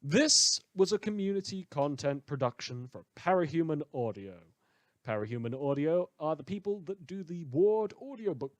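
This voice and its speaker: A man's voice: mid-range voice, no echo. A man delivers informal credits for a fan audio production. He is wry and tends to amend what he has just said. The recording is dry, with hardly any room sound. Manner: self-correcting, wry. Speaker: fan audio drama creator. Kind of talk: casual spoken credits.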